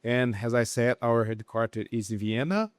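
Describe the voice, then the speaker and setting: A man's voice: medium pitch, normal speed, clean tone, dry recording, clean studio sound. A man from Brazil, introducing himself on a conference panel and sometimes searching for words. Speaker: global head of payroll, panelist.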